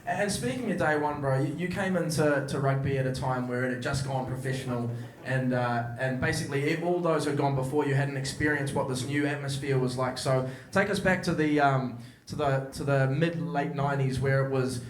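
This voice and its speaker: A man's voice: mid-range voice, fairly quick, echoey room, rough recording. A man, a podcast host who asks eager, rambling questions full of restarts. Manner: enthusiastic, stumbling restarts. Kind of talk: casual podcast interviewing.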